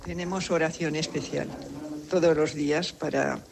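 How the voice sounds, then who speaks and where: A woman, a cloistered nun, answering interview questions calmly, firmly and with assurance. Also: deep voice, moderate pace, creaky, crowd noise. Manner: calm, firm and assured.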